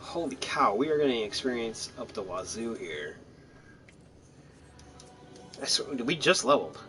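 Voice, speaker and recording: A man's voice: mid-range voice, very animated, measured pace, pushed and bright, slight background noise. A man giving casual, rambling, self-deprecating commentary while playing a video game. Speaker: gaming streamer. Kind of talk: casual let's-play commentary.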